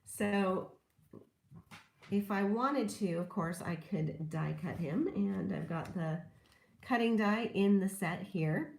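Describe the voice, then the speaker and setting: A woman demonstrating papercrafting in a gentle, chatty tutorial, a little hoarse from a lingering cold. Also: lowish voice, echoey room. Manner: gentle, chatty, laughs softly while working. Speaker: papercrafting instructor. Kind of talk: casual craft tutorial demonstration.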